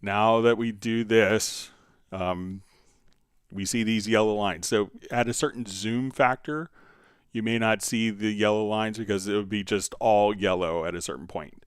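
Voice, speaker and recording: A man hosting a casual live software tutorial, talking haltingly as he thinks aloud while demonstrating. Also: fairly low pitch, fairly even intonation, clear, resonant tone, no echo, studio quality. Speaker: livestream host, software instructor.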